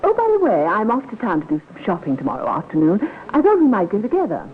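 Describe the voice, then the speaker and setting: Arch, lilting widow, tinny radio play. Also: female voice, medium pitch, wildly swooping pitch, quick pace. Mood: politely piqued. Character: genteel, inquisitive widow, arch and sociable. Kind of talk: 1950s radio drama acting. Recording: tinny, band-limited old radio recording.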